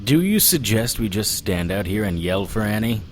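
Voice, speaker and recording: An actor playing a hard-bitten, stubborn private investigator in an audio drama, with a curt, sardonic way of talking. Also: male voice, on the low side, very animated, moderate pace, slight background noise. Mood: wry, businesslike. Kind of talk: audio drama acting with first-person narration.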